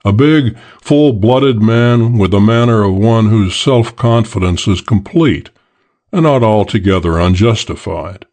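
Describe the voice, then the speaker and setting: Deep-voiced male audiobook narrator. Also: expressive, a little slow, darkish tone. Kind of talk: scripted first-person detective story narration.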